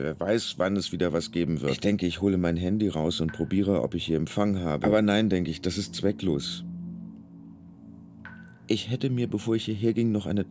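An actor playing an anxious, brooding man in his fifties, halting and deadpan in a radio play monologue. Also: sits low, a little hiss or hum, lightly acted. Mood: ruminating. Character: anxious, ruminating 52-year-old male emergency patient, inner monologue. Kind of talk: German radio play acting.

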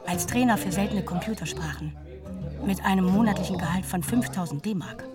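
A woman's voice: on the low side, a little fast, close to an everyday voice. An actress playing an earnest, proud middle-aged mother reading out a formally worded letter to her son in a radio drama. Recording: slightly distant, loud guitar music behind.

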